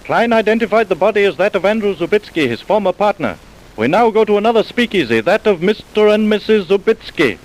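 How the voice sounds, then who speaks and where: An actor playing the narrator of an old radio crime drama, reading formal scripted narration. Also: male voice, high voice, animated, brisk, poor audio.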